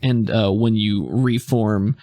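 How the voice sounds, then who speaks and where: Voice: medium pitch, fairly even intonation, slow pace, warm tone, dry recording. A game master casually narrating a tabletop roleplaying game and explaining its rules.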